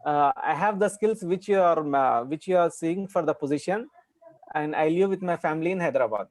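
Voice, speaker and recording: A man's voice: fairly high pitch, a little fast, dry recording. A young man with an Indian English accent, a student giving hesitant answers full of "uh" and "like" over a video call.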